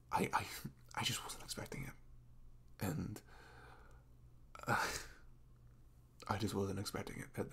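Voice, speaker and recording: Man acting very deep-voiced, flustered sweet boyfriend. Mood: flustered, apologetic. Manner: stammering, halting, apologetic, breaking into soft nervous murmurs. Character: sweet, goofy, flustered young boyfriend, nervously tender. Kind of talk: intimate ASMR roleplay audio.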